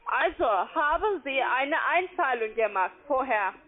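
Female call-centre agent, non-native speaker with foreign accent and broken grammar, high voice, tinny telephone sound. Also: lively intonation. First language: not German. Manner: halting, ungrammatical German. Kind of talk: phone sales call. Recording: narrow tinny phone line.

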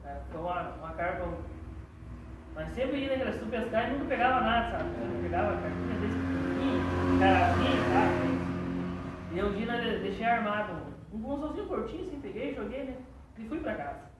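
A man's voice: sits high, sing-song, fairly quick, very noisy. A young man, a shop owner telling fishing stories in a casual interview. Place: fishing tackle shop, indoors. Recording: echoey shop, far from the mic.

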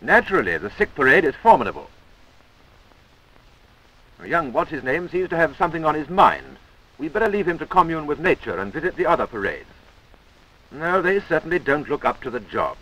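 A British man narrates a 1940s army instructional film in a fairly high voice that rises and falls with great animation. His scripted commentary is wry and chummy, heard through an old film soundtrack. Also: sing-song, a little fast, long pauses, sharp tone, rough recording.

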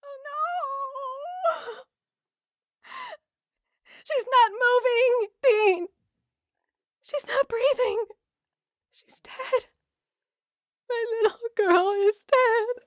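A worried mother and housewife in a radio drama. She is weary, high in pitch and unhurried, recorded close and dry. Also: no echo, heavily acted. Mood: panicked grief.

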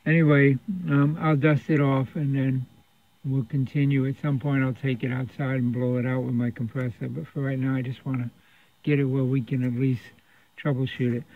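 A man's voice: mid-range voice, flat delivery, moderate pace, no room sound. A man giving a casual, unscripted workbench narration as a repair technician, explaining in a rambling, conversational way. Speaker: radio repair technician presenting a repair video. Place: indoor electronics repair workshop. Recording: thin phone-like sound.

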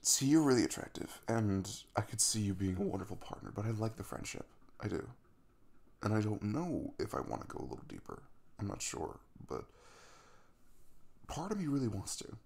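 Young male crush played by a man for intimate audio roleplay: low, very creaky, easygoing, caring, teasing. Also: sing-song, normal speed, a little mellow, full character voice. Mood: gentle, hesitant. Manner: rambling, casual, jokey and reassuring. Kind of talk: intimate ASMR boyfriend roleplay, conversational.

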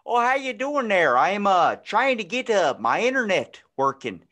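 A man's voice: high-pitched, big pitch swings, moderate pace, fairly bright tone, pitched above the performer's own voice. Man doing a folksy, clueless old sports-fan dad. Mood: oblivious, eager. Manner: rambling, oblivious, chatty. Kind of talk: improvised comedy phone-call skit.

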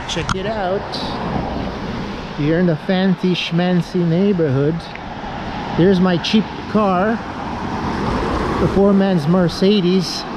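A middle-aged man, rambling and joking self-mockingly while vlogging as he walks down a rainy city street. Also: high-pitched. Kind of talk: rambling walking vlog. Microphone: action camera held at arm's length. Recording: street and traffic noise.

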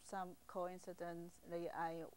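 Lower-pitched Taiwanese-accented woman, hesitant. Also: monotone, unhurried. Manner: hesitant, simply phrased non-native English. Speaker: acupuncturist being interviewed. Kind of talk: informal explanatory interview.

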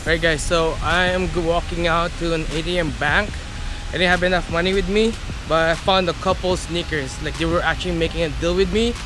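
A man's voice: on the high side, fairly even intonation, fairly quick, few pauses. A young man chatting casually to camera as a vlogger, filming himself at arm's length. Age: young adult. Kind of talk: casual vlog chat to camera. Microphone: action camera at arm's length. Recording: loud music behind the voice. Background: loud music.

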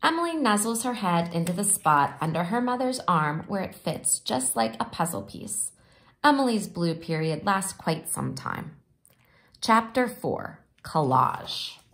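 Animated woman reading a picture book aloud. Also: medium pitch. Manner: animated, pausing to explain pictures. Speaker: storybook reader.

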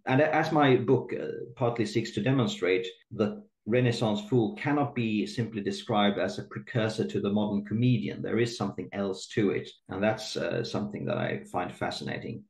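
A man's voice: lowish voice, gravelly, darkish tone, roomy sound. A man from Sweden, a native Swedish speaker, answering interview questions in a thoughtful way with many fillers and restarts. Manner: thoughtful, hesitant with fillers and repeated words. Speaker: guest historian.

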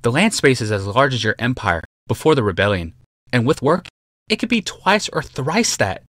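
A man's voice: mid-range voice, big pitch swings, normal speed, fairly bright tone, no echo, studio quality, voice pushed up. A male narrator voicing a smug, teasing woman who walks between worlds. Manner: teasing, matter-of-fact. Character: smug, sly female otherworldly traveler.

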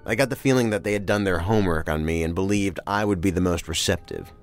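A man's voice: sits low, quick pace, soft background music, natural voice. An actor playing a weary, wry career crime agent in an audio drama, dry and guarded in his talk.

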